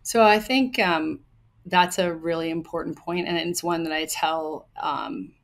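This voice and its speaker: Woman, young adult, soft voice, lower pitch, earnest explaining, casual interview. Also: measured pace, creaky. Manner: earnest, explanatory, frequent ums and likes. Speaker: hockey coach, guest.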